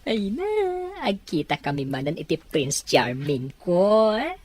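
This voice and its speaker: A woman's voice: low-pitched, sing-song, measured pace, a little mellow. Woman doing a coaxing male buddy. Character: male buddy, scheming and carefree. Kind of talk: amateur audio drama acting. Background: faint music.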